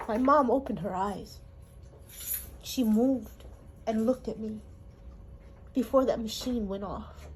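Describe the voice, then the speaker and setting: A woman from Hawaii, telling her life story in a halting, emotional way with pauses. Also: on the high side, a little hiss or hum, low-quality recording. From Honolulu, Hawaii. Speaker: interviewee. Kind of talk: personal testimony.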